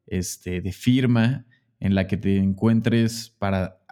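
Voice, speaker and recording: A man interviewing a guest in a casual chat, reflective and hesitant, pausing to search for words. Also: low voice, warm tone, clean tone. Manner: hesitant, with many fillers and restarts, reflective.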